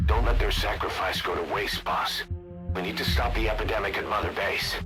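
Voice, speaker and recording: An actor playing an urgent, hard-driven military second-in-command in a game, giving terse, emphatic briefings. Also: male voice, on the low side, brisk, natural voice. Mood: grim urgency. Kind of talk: dramatic video game cutscene acting. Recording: loud music over the voice.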